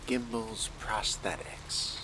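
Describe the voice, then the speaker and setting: A deep, unhurried vampire gentleman, played by a man for a game roleplay. He speaks in hushed, breathy tones with genteel, calculating politeness, over prominent music. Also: steady tone, background noise. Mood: smooth, scheming. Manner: formal, genteel, measured wording. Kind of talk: in-character game roleplay narration.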